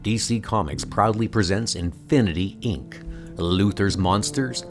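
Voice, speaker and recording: Male announcer for comic book story, measured pace, music underneath. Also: fairly low pitch. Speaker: narrator. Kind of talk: scripted comic book narration.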